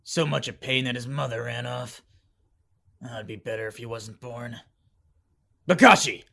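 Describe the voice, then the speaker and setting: A man playing a callous, hot-tempered army general in a fantasy animation, with a gruff, commanding manner and a gravelly voice. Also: medium pitch, unhurried, pushed and bright. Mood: cold, scornful. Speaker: voice actor. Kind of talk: animated fantasy drama acting.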